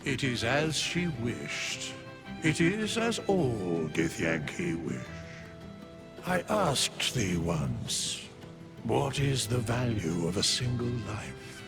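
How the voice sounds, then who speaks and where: An actor playing an ancient, solemn undead sage in a video game, making grave, archaic, measured pronouncements. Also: male voice, mid-range voice, very animated, creaky, over music, exaggerated voice. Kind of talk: video game character acting.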